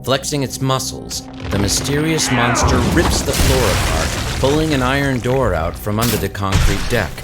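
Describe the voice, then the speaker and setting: A man's voice: mid-range voice, animated, moderate pace, hardly any pauses, lightly acted. A man reading dramatic scripted narration for an audio story, with music behind him.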